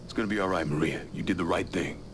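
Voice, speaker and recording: An actor playing a tough, terse American criminal leader in a video game cutscene, blunt and low-key. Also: male voice, fast talker. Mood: steady, probing. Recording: clear background noise.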